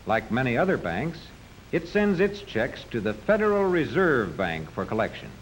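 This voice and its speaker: A male narrator delivers scripted 1940s educational film commentary. His medium-pitched voice rises and falls in an animated way as he explains things didactically. Light noise from the old soundtrack runs beneath. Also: normal speed, rough recording.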